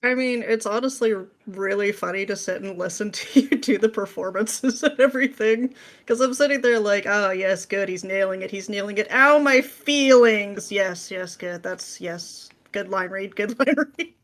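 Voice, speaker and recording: Woman, podcast guest, medium pitch, informal, animated, conversational. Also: normal speed. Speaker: podcast guest, script writer. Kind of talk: casual interview chat.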